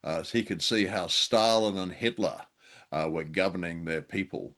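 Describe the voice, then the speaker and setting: A man's voice: low-pitched, normal speed, fairly bright tone. A man co-hosting a casual discussion show, speaking in a hesitant, wry, self-deprecating way. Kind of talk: casual discussion of an old radio drama.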